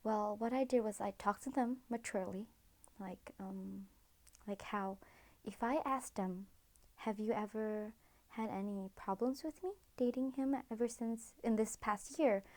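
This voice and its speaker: A woman's voice: mid-range voice, unhurried, a little mellow. A young woman from Malaysia, a student, speaking earnestly about her relationship in an interview and dropping to a whisper for asides. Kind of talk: personal testimony in a documentary interview.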